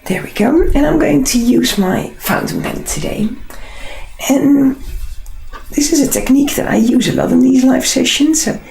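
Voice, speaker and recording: A woman with a Dutch accent and a husky, unwell voice, chatting casually and unhurriedly as she hosts a live drawing tutorial. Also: high-pitched, normal speed, a little mellow, roomy sound. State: unwell, struggling hoarse voice. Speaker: artist hosting a live drawing session.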